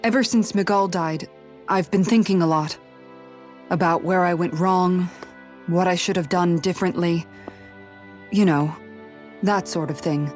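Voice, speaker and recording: An actress playing an earnest, resolute young woman guard in a video game cutscene, speaking in a measured, formal, sincere way. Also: on the low side, faint music behind, close to an everyday voice. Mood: regretful, reflective.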